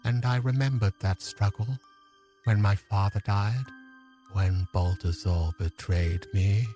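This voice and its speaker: A man acts out an aged, lonely manipulator of time for an audio drama. His voice is lowish and very unhurried, weighed with solemn regret and soft-edged in tone. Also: steady tone, soft background music, natural voice. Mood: solemn, regretful. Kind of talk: scripted audio drama acting.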